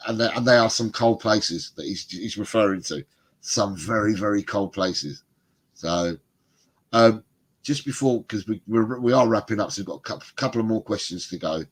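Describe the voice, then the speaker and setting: A middle-aged man, a little bunged up, reads viewer comments and chats on a fan livestream. His voice sits low and sounds husky. He rambles easily and laughs along. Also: normal speed. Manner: chatty, rambling, laughs often. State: congested, bunged up. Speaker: co-host of a football fan livestream. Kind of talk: casual chat reading out viewer comments.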